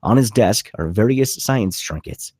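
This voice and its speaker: A man narrates a script in a low, rough-edged voice. His reading is dramatic and animated, moving through stage directions in a dry, close recording. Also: measured pace, dry recording.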